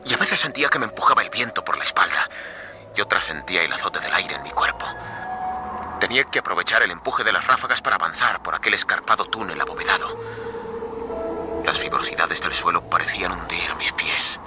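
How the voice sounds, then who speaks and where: A man's voice: low voice, lively intonation, fairly quick. An actor playing a desperate stranded man in a suspense radio drama, speaking dramatically. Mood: tense, hushed. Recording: dramatic music behind the voice.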